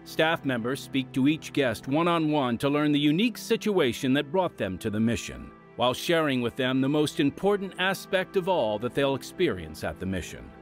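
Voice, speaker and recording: A man announcing and hosting a scripted radio drama programme in a dramatic, measured manner. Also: mid-range voice, very animated. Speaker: announcer and host. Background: music.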